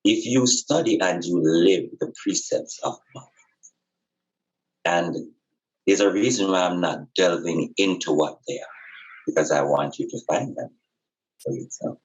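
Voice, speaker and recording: A man's voice: sits low, measured pace, pauses often, highs cut off. A man speaking as a spiritual teacher in conversation, earnest and emphatic, repeating phrases for effect.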